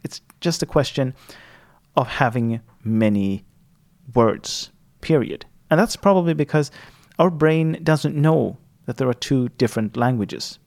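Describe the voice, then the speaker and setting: A man's voice: medium pitch, lively intonation, unhurried, a little mellow. A man in his thirties from Sweden with a Swedish accent, speaking English as a second language in a hesitant casual monologue full of fillers and restarts. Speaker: linguistics student.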